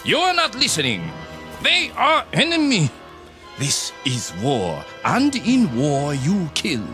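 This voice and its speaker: An actor playing a ruthless, contemptuous Japanese army captain in a radio drama, commanding and cold, with deliberate, emphatic phrasing. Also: male voice, fairly high pitch, huge pitch swings, sharp tone, exaggerated voice. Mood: fierce, insistent. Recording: music under the voice.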